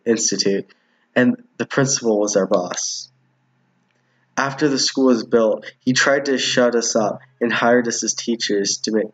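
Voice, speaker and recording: Man reading as a husky, guilt-ridden teacher. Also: mid-range voice, close to an everyday voice, poor audio. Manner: meek, hesitant, remorseful. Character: guilt-ridden, cowed male homeroom teacher.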